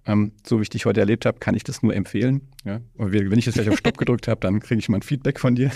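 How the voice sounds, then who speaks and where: A man's voice: lowish voice, fairly quick, a little mellow, slightly rough, no room sound, studio quality. A man hosting a podcast interview in a casual, conversational way, with rambling, circling phrasing. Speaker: podcast host interviewing a guest.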